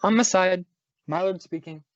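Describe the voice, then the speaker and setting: Terse male homicide detective woman actor, lowish voice, businesslike radio-play delivery, close dry sound. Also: normal speed, dry recording, lightly acted. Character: matter-of-fact male police homicide detective. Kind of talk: old-time radio drama acting. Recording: dull lo-fi audio.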